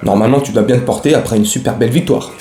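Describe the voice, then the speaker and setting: A man hosts a chatty football fan recap. His mellow, relaxed voice rings in a roomy space. He uses plenty of slang and speaks directly to the people watching. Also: medium pitch, rapid-fire. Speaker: host of a football fan show. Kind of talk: casual match recap to camera. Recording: roomy, echoey room sound.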